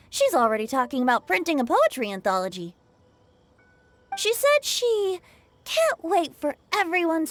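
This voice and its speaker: A woman's voice: high voice, a bit bright, no echo, exaggerated voice. A woman voicing a breezy, whimsical, teasing young male bard in a video game, playful and flowery in delivery.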